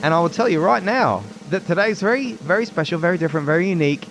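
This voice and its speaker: Playful Australian man, slightly high voice. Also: lively intonation, quick pace, a bit bright, faint music behind. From New South Wales, Australia. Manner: playful, laughs often, drops to mumbled asides. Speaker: podcast co-host. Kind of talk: casual chat.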